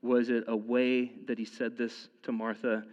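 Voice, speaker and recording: A man's voice: mid-range voice, little pitch movement, normal speed, a little mellow, long reverb tail, highs cut off. A man in his thirties preaching a sermon in a casual, conversational way, often restarting his sentences and using fillers. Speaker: pastor.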